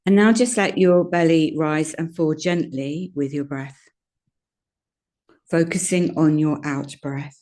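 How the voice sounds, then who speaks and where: A woman leading a guided meditation in a soothing, gentle manner, giving unhurried instructions with pauses. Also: low-pitched. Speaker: yoga nidra meditation guide.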